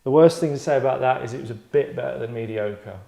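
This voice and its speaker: A man hosting and directing a table read, chatting casually in a tongue-in-cheek acting critique, deadpan, rambling and self-satisfied. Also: mid-range voice, quick pace, gravelly. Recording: roomy, echoey indoor sound.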